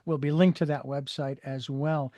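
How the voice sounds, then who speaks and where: A male show host with a somewhat high but mellow, soft-edged voice. He rambles a little, restarting sentences and filling gaps with "uh". The sound is close and dry, with no room around it. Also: little pitch movement, normal speed, no echo. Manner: rambling with many fillers and restarts. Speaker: podcast host. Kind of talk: hosting an interview show.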